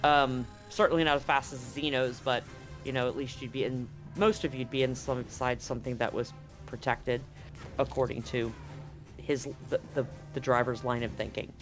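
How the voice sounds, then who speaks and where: A man acting as game master, narrating and explaining a tabletop scene in a casual, halting way that often rephrases. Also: mid-range voice, fairly even intonation, faint music behind.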